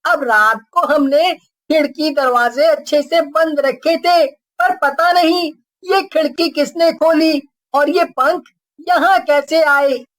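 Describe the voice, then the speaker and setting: Young cartoon boy, played by a woman: very high, slow, careful speech, earnest and observant. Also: rough recording. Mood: earnest, worried. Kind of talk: Hindi cartoon character acting.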